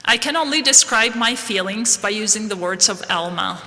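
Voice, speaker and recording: A woman from Palestine speaks slowly and earnestly, delivering a devotional address. Her voice is bright and clear. It rings out with the long reverb of a large historic hall. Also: mid-range voice, animated. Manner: slow, measured, earnest delivery. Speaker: guest speaker at a church devotional.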